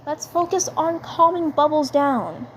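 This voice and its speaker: Woman doing a high-pitched superhero big-sister girl. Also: animated, measured pace, rough recording. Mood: firm, chiding. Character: young cartoon superhero girl, bossy caring leader sister. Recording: faint background noise.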